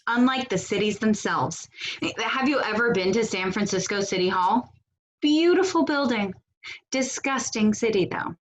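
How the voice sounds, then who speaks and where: A woman acting a blunt, sardonic protest organizer in a stage comedy over a video call, with a brisk, dry, bossy delivery. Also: medium pitch, expressive, a little hiss or hum, natural voice, poor audio. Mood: businesslike. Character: blunt, sardonic female leader of a protest cell.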